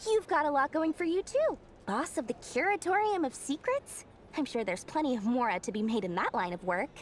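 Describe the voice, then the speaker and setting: A woman's voice: high voice, expressive, brisk, slight background noise. A woman playing a small, money-loving young merchant in a video game, with a chirpy, theatrical delivery. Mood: gleeful.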